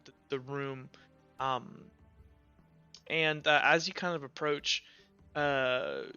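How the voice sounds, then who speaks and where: Bright, clear-voiced man running a game. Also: medium pitch, slow pace, lo-fi sound, faint music behind. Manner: informal, improvised descriptions. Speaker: game master. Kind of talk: narrating a tabletop roleplaying game.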